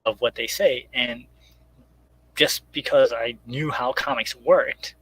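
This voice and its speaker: A man's voice: mid-range voice, steady tone, slow pace, breathy edge, rough recording. A man speaking casually as an interviewed writer, explaining step by step and acting out imagined remarks as he goes. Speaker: guest comic book writer.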